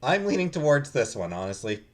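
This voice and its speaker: A man's voice: mid-range voice, sing-song, fairly quick. A man streaming a visual novel, switching between casual chat with viewers and reading narration aloud.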